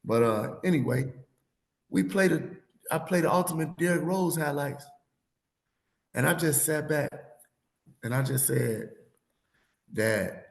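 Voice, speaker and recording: A man in his thirties or forties hosting a sports podcast, losing his voice, rambling and animated as he tells stories in casual chat. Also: medium pitch, creaky, reverberant, a little hiss or hum. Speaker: podcast host.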